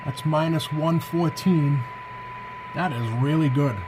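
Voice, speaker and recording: Man reviewing gear over clear background noise. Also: fairly high pitch, takes it slowly. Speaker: product reviewer. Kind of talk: casual hands-on radio review.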